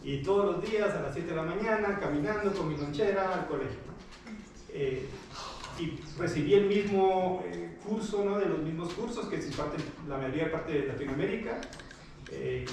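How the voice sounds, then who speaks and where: A man's voice: high-pitched, brisk, background noise. Hesitant man speaking in a distant echoey hall. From Guatemala. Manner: hesitant, many fillers and restarts, friendly. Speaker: guest speaker. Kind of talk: informal talk to students. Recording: echoey hall, picked up from afar.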